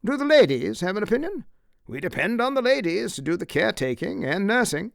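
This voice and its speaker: An earnest male leader, voiced by a narrator, chairs with formal courtesy and deliberate phrasing. His voice rises and falls widely with a creaky edge, in a dry audiobook recording. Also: sits high, big pitch swings, dry recording, voice pushed up, heavily acted. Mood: deliberating. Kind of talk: audiobook character acting.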